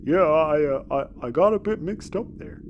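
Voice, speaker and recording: A sheepish, bumbling man of the space crew , as an actor plays him apologises haltingly in a sci-fi audio comedy. His intonation jumps about, with faint ambience behind. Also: medium pitch, lively intonation, highs cut off, slight background noise, close to an everyday voice.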